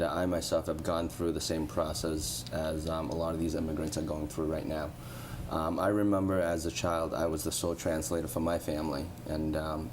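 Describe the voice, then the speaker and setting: Male entrepreneur guest, very deep voice, earnest and halting, clear hiss on the line. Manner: earnest, with many repetitions and um fillers. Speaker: restaurant group founder. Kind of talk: interview guest sharing personal experience.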